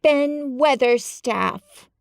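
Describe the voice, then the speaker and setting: A woman's voice: high voice, unhurried, a bit bright, dry recording, studio quality, voice pushed up. A woman voicing a bold young girl who calls out breathlessly and earnestly. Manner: calling up with a gasp.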